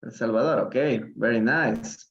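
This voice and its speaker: A man's voice: medium pitch, normal speed, vocal fry, highs cut off. A man from Peru teaching an English reading lesson on a live stream, encouraging his students with many fillers and hesitations. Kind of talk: live online reading lesson, switching to Spanish. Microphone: livestream call audio.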